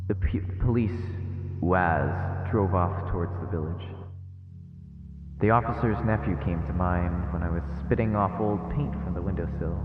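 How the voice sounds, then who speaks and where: A phone-like, reverberant recording of a man with a low voice. He reads visual novel narration aloud in a casual streamer's way, and music plays behind him. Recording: echoey, phone-like narrow sound, long reverb. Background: game music.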